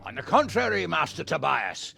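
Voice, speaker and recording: A gravelly, blustering old lord in a video game, his voice bright and sharp-edged. He swings wildly up and down in pitch as he barks and curses. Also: big pitch swings, moderate pace, soft background music, exaggerated voice. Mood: jovial. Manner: gruff, swears loudly. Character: blustering hot-tempered older male lord. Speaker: nobleman lord. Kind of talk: video game cutscene acting.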